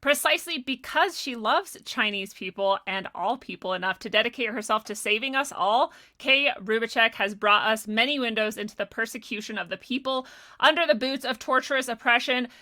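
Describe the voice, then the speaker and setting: Female livestream host, forceful bright tone, fairly high pitch, informal interview style. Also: fairly quick.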